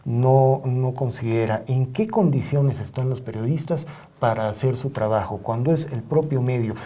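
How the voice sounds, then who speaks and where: Very dark-voiced middle-aged Mexican man, measured. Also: medium pitch. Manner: deliberate, halting, measured explanation. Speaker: media ombudsman and journalist, panel guest. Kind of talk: reflective studio interview discussion. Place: studio.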